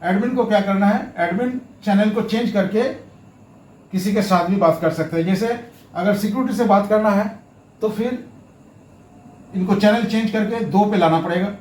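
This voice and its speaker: A man giving a step-by-step tutorial, explaining haltingly and repeating himself, with English terms mixed in. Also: sits high, a little mellow. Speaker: instructor, tutorial presenter. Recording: roomy echo, faint background noise.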